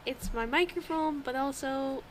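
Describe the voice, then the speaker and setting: A woman's voice: high-pitched, measured pace, a little hiss or hum. A young woman with a congested, stuffy nose, chatting casually as a podcast co-host, swearing freely and laughing often. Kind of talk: casual chat. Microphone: old home microphone.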